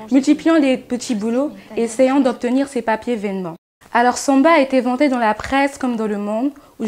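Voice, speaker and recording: A woman's voice: on the high side, a little mellow. Young woman reviewing a film. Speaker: culture columnist. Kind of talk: student news segment film review.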